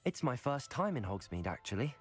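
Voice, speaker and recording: An earnest young male student wizard in a fantasy game voiced by an actor. He is polite, curious and a little reserved, though his pitch moves widely. Light music is heard behind him. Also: sing-song, fairly quick, natural voice. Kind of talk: video game voice acting.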